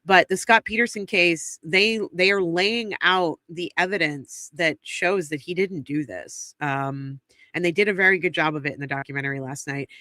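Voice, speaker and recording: A woman's voice: on the low side, moderate pace, pushed and bright, dry recording. A congested woman co-hosting a true crime livestream, talking casually and thinking aloud with many ums and restarts. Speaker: true crime stream co-host. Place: home studio near the DC area. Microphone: close streaming mic.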